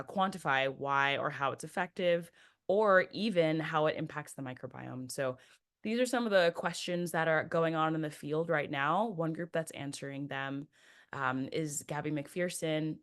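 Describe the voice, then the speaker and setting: A researcher presenting findings in a webinar, explaining steadily with frequent ums. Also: mid-range voice. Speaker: researcher panelist.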